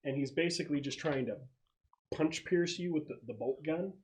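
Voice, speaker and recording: A man's voice: fairly high pitch, normal speed, darkish tone. A man acting as game master, narrating a tabletop game in a casual, conversational way. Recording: noisy room with clicks.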